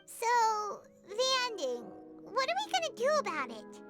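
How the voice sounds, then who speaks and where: Tiny female game companion, very high squeaky voice, chirpy, curious, game music behind. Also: wildly swooping pitch, measured pace, exaggerated voice.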